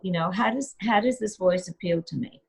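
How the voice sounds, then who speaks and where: A woman's voice: mid-range voice, a little fast, creaky, darkish tone, breathy edge. A middle-aged woman, a yoga teacher and singer, giving earnest, encouraging advice in an interview and repeating her key phrases.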